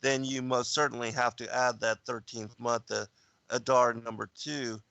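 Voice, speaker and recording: A male guest explaining calendar dates in a casual talk, halting with many fillers. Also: medium pitch, little pitch movement, gravelly, pushed and bright, dry recording. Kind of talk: casual discussion of biblical calendar.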